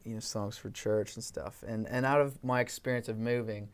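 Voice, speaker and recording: A young man from Canada with an accent mixing Canadian and English, chatting softly and rambling with many fillers in a small studio room. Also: on the low side, steady tone, moderate pace, clear, resonant tone. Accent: Canadian mixed with English. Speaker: guest singer-songwriter. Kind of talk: casual chat, then singing with acoustic guitar.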